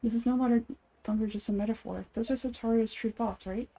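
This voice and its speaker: A woman voicing a reserved young traveler in an anime game fan dub, speaking in a brief, low-key way. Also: steady tone, fast, gravelly, warm tone. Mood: quiet realization.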